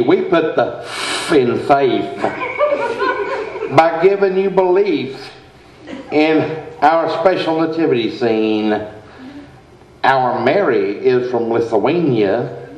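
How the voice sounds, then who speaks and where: Earnest small-town preacher , male actor, radio-play comedy, unhurried sermonizing, echoey and slightly dull stage sound. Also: a little high, lively intonation, darkish tone, slight background noise. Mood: earnest, unctuous. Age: middle-aged. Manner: slow, deliberate, sermonizing delivery. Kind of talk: comic old-time radio sketch, read from script. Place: theater stage before a live audience. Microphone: stand microphone, heard in the hall.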